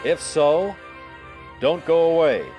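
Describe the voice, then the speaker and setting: A man's voice: a little high, lively intonation, slow pace, darkish tone. A man announcing programs in a radio theater style. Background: faint brass music.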